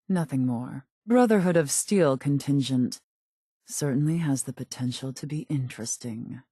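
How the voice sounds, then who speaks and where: A woman's voice: sits low, mellow, breathy edge, dry recording, studio quality, heavily acted. A woman playing a cool, calculating raider gang boss in a video game, speaking in a clipped, haughty, businesslike way. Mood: dismissive, cool. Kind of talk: scripted video game character dialogue.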